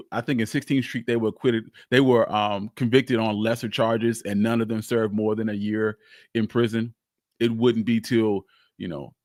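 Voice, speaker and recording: A man's voice: medium pitch, no room sound. Man telling history in a dry-sounding space. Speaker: host of a history show. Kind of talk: live history storytelling to an audience online.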